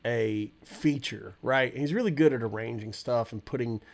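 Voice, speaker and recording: A man hosting a casual livestream, answering chat in a rambling, relaxed way with a stuffy nose and lots of fillers. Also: medium pitch, moderate pace, creaky.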